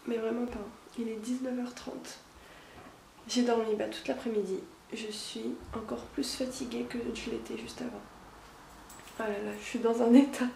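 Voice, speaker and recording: A woman's voice: on the high side, gravelly, a little mellow, roomy sound, a little hiss or hum, poor audio. A young woman vlogging in a casual, chatty way, stuffy from a cold, with many fillers and restarts. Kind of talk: casual daily vlog chat.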